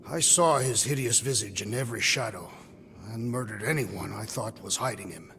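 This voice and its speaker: An actor playing a solemn, proud alien warrior in a video game cutscene, speaking formally and gravely. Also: male voice, lowish voice, moderate pace, sharp tone, big-room sound, a little hiss or hum. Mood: grim. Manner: formal, grave, literal-minded diction.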